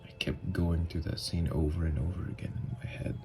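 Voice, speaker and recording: A man playing a tormented, lovestruck young vampire in an intimate ASMR roleplay, speaking in a slow, halting, hushed murmur. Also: low-pitched, fairly even intonation, music in the background. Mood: haunted, brooding. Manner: slow, halting, hushed confession with long pauses. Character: tormented, lovestruck newly turned male vampire, confessing tenderly. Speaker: ASMR voice actor.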